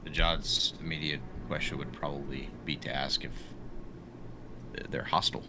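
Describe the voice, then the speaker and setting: Male roleplayer, extremely low voice, casual narration, clear noise on the recording. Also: little pitch movement, normal speed. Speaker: tabletop game player.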